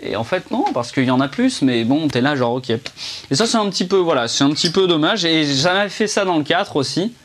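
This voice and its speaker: A young man rambles through a casual film review, his voice sitting somewhat high for a man. He talks in a chatty, loose way, full of fillers and restarts, as he speaks to a camera indoors under a ring light. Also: expressive, brisk, fairly bright tone, a little hiss or hum.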